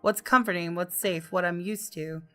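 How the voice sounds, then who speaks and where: Female gamer narrating a visual novel, expressive read, bright forward tone, light music underneath. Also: fairly low pitch, normal speed. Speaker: gaming video host.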